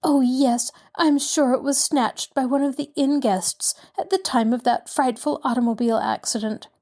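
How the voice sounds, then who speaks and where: A woman's voice: sits high, steady tone, moderate pace, mellow, pitched above the performer's own voice, natural voice. A woman voicing a genteel middle-aged lady in distress who pleads breathlessly and near tears. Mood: eager, fluttery.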